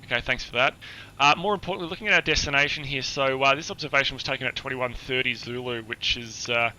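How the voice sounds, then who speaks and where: A hoarse, husky-voiced man giving a chatty, explanatory flight briefing on a live stream, with many filler words. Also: mid-range voice, fast, pushed and bright, low-quality recording.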